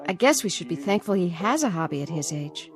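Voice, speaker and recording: An actress playing a gentle, worried granddaughter in a radio drama, speaking with concern and some hesitation. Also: female voice, lowish voice, animated, fairly quick, music in the background. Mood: anxious, resigned.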